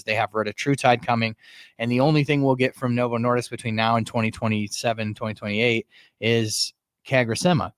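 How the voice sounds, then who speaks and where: A man's voice: medium pitch, smooth, no room sound. A man hosting an informal news show, commenting in a conversational, earnest way and repeating points for emphasis. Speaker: host of a medical and pharma news show.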